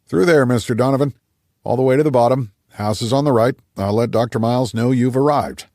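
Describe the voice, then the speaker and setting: A big gate guard voiced by a male narrator, polite and procedural. His pitch is lifted above the narration, and he sounds helpful and businesslike in this audiobook scene. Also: fairly quick, mellow, no echo, studio quality, natural voice.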